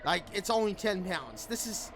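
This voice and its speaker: A man's voice: sits high, lively intonation, normal speed, fairly bright tone, slight background noise. A man giving a casual, rambling game review, often circling back to repeat his points. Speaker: gaming video creator. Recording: music behind the voice. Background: background music.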